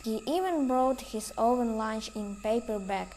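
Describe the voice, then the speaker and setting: A woman's voice: on the high side, measured pace, dark tone, a little hiss or hum. A woman reading scripted narration for a children's audio play.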